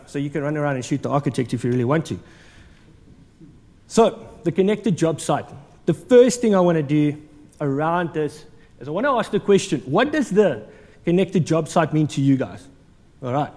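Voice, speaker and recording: A man's voice: fairly high pitch, a little mellow, long reverb tail. A man with a South African accent giving a jokey, conversational conference talk, with lots of ums and uhs. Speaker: conference speaker. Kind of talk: conference presentation. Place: large conference hall.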